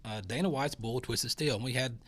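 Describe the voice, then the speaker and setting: A man's voice: medium pitch, a little fast, slightly rough. Folksy male talk show host. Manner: rambling, folksy, many fillers and restarts. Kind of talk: casual news chat and interview.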